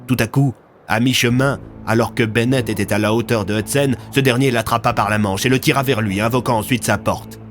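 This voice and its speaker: A man's voice: medium pitch, fairly even intonation, a little fast, soft background music. A man narrating scripted audio fiction in a dramatic storytelling manner.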